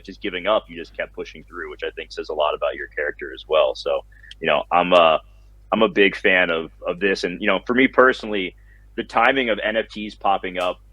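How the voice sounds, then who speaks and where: Husky low-voiced male co-host. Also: fairly bright tone, dry recording, lo-fi sound. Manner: reflective, affirming the guest. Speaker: podcast co-host. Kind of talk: casual interview chat.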